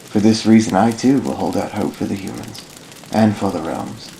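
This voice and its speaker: A low-pitched, thoughtful elf ranger, acted by a man for a fantasy audio drama. He speaks formally and solemnly, like an idealist recounting a long life. The amateur recording has noticeable noise behind the voice. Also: moderate pace, dark tone, lightly acted. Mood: solemn, reflective. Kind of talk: fan audio drama narration, literary monologue.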